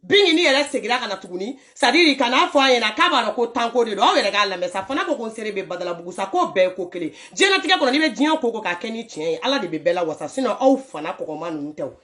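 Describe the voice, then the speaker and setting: A woman commenting casually on voice notes for her followers, animated and scolding, often laughing as she talks. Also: a little high, fast talker, few pauses. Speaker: social media commentator.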